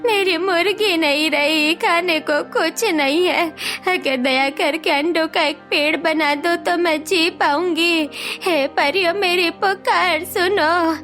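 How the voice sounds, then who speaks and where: A woman playing a poor, tearful old village woman who pleads in a drawn-out, plaintive way in an animated moral story. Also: very high voice, exaggerated voice. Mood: tearful pleading. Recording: music behind the voice.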